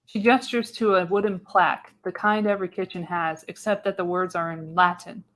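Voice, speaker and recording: A woman's voice: fairly low pitch, fairly even intonation, moderate pace, a bit bright, low-quality recording. A woman reading scripted stage directions as narrator in a live radio play reading. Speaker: narrator, show host.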